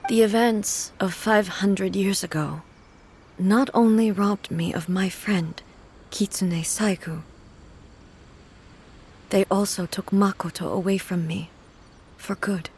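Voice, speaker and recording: A woman voicing a reserved, grieving divine female ruler in a video game cutscene, speaking formally and softly, often close to a whisper. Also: mid-range voice, fairly even intonation, slightly rough. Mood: sorrowful. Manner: measured, formal, soft-spoken, often near a whisper.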